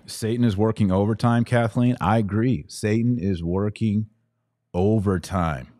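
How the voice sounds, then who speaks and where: American guy doing a laid-back livestream q&a, lowish voice, close dry sound, slangy. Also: measured pace, dark tone, no echo. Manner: informal, playful slang. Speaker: podcast host. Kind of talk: casual livestream chat answering viewer comments.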